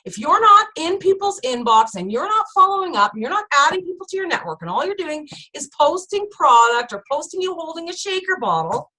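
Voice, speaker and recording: High, lively, animated woman motivating her team. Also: fairly quick, hardly any pauses, low-quality recording. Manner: emphatic, animated, keeps addressing listeners as "you guys". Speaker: sales team leader. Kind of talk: casual motivational team chat.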